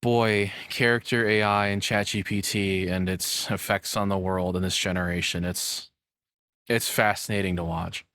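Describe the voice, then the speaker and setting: A man's voice: sits low, steady tone, normal speed, fairly bright tone, no room sound, clean studio sound. A young man doing casual reaction commentary as a streamer, rambling as he thinks aloud. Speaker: VTuber streamer reacting to a video.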